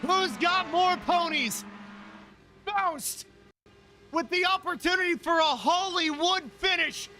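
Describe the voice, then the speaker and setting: A man calling a sim race finish in excited play-by-play, shouting as the cars come to the line. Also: extremely high pitch. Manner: shouting, fast excited calls. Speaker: race commentator and host. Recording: noisy stream audio.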